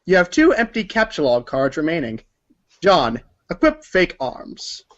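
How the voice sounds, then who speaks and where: A man's voice: on the high side, wildly swooping pitch, unhurried, a bit bright. A man reading scripted narration for a read-along story performance, in a dull recording.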